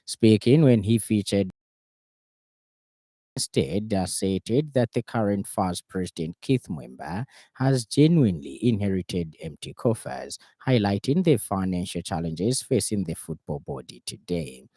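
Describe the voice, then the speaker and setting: A man's voice: fairly low pitch, animated, measured pace, mellow, dry recording. A man with a Zambian accent reading a scripted radio news bulletin. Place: radio studio.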